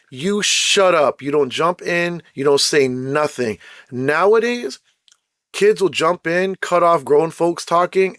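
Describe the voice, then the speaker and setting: Host, man, casual blunt rant, slightly high voice, hoarse and fading, dry close sound. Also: no room sound. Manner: blunt, emphatic, repeats points. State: losing his voice, throat-clearing. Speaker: channel host. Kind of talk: casual ranting commentary.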